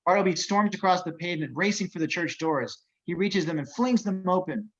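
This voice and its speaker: A man's voice: high-pitched, brisk, highs cut off. A man narrating the action of a script as reader of stage directions in an amateur table reading.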